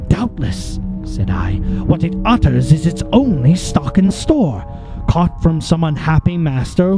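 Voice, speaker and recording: Male narrator, slightly high voice, theatrical poetry reading with hushed whispers. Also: low-quality recording. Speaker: narrator performing a poem. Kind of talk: dramatic poetry recitation. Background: music.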